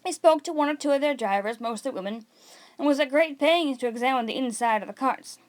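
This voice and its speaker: High pitched lady reading a novel out loud, trips on words now and then and fixes them. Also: fairly quick. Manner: stumbles over words and corrects herself. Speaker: amateur reader of an audiobook read-along. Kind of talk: read-aloud storybook narration.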